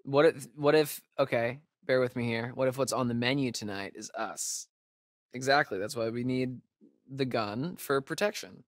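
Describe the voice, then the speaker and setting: A man's voice: mid-range voice, dry recording, close to an everyday voice. Man playing a wisecracking young American guy, dry recording. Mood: joking, animated. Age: young adult. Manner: casual, sarcastic, halting asides. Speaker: video game voice actor. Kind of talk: naturalistic video game dialogue.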